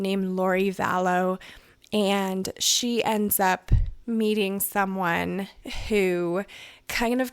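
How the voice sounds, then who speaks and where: A woman hosting a solo podcast, her voice raspy and hoarse from crying, talking in a chatty, rambling way. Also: medium pitch, no room sound. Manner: chatty and rambling, many "like" and "you know". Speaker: podcast host. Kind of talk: casual solo podcast review.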